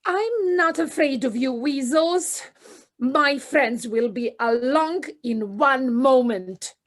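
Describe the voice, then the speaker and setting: Woman playing little mole, high, slow, meek, earnest, trying to be brave, audio play. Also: expressive, exaggerated voice. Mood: timid defiance. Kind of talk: children's audio play acting. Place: living room at home, recorded over video call.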